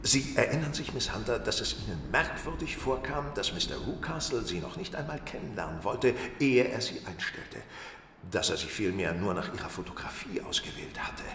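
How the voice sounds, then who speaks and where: An actor playing a keen, self-assured detective in a German radio play, speaking in deliberate, formal, precise sentences. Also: male voice, on the low side, sing-song, fairly bright tone. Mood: assured, explanatory. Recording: roomy, distant, echoing, faint noise.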